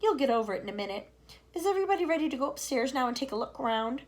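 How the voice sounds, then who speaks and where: A woman's voice: sits high, big pitch swings, rapid-fire, pitched above the performer's own voice. A woman doing a cheerful old grasshopper. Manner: encouraging, kindly.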